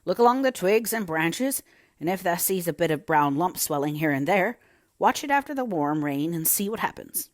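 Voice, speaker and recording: A woman's voice: lowish voice, expressive, moderate pace, no echo, studio quality, natural voice. A woman voicing a crusty old male gardener, grumbling and blunt but kind underneath, in a storybook reading. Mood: curious, grumbling. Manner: grumbling, blunt dialect speech.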